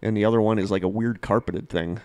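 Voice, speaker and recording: A man's voice: on the low side, fairly even intonation, quick pace, a little mellow, dry recording. Man chatting casually on a podcast, dry close sound. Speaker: podcast co-host. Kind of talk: casual chat between co-hosts. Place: small home podcast studio.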